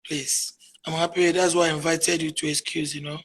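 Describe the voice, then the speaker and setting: A Nigerian woman whose first language is Igbo, answering interview questions emphatically, dropping to near murmurs and then rising to loud declarations. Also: sits low, level tone, normal speed, a little hiss or hum. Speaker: interviewee, activist. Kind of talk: emotional call-in interview.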